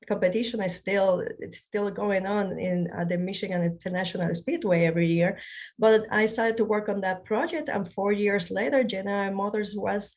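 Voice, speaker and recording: A woman's voice: mid-range voice, fairly even intonation, moderate pace, darkish tone. Earnest woman engineer from Puerto Rico. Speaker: mechanical engineer, panel guest. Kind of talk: panel interview, personal story.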